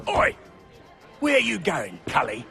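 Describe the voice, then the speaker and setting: Gravelly, swooping British ruffian. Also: male voice, high-pitched, huge pitch swings, exaggerated voice. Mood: taunting. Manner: jeering, drawn-out. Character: taunting, belligerent British ruffian spoiling for a fight. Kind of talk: dramatic video game acting. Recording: music behind the voice.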